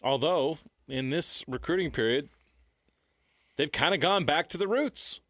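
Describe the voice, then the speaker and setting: A man's voice: on the high side, animated, unhurried, fairly bright tone, dry recording. Emphatic American male sports analyst, dry sound. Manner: emphatic, repeats points in short phrases. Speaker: sports radio co-host.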